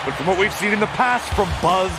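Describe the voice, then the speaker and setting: A man's voice: high-pitched, lively intonation, fairly quick, heavy background noise. A man playing an indignant wrestling commentator giving sports commentary in a video game. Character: indignant male wrestling commentator siding with the champion.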